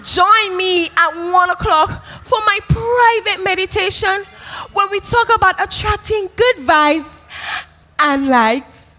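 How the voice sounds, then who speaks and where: A woman's voice: very high voice, slow, pushed and bright, exaggerated voice. A woman playing a vain female influencer in a comedic livestream parody, melodramatic and fake-crying. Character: vain self-absorbed female social media influencer. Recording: long reverb.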